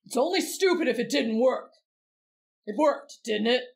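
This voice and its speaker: A woman's voice: on the high side, lively intonation, normal speed, vocal fry. A woman voicing a brash, blunt, mischievous teenage boy in an anime fan roleplay. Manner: blunt, cocky, teasing.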